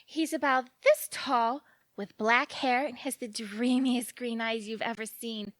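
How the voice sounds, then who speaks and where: An actress playing a giddy, lovestruck romantic woman in an audio drama, gushing and dramatic and quick to take offence. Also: a little high, fairly even intonation, fairly bright tone, dry recording. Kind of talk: audio theatre acting.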